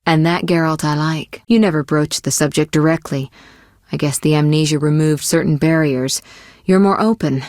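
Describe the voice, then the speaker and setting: A woman acts out a poised young sorceress for a video game, her voice on the low side and recorded dry and close. She speaks in measured, articulate phrases, gently chiding. She sounds worldly and caring, with a teasing, possessive edge. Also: no echo, lightly acted. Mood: composed, gently chiding. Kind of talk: scripted video game voice acting.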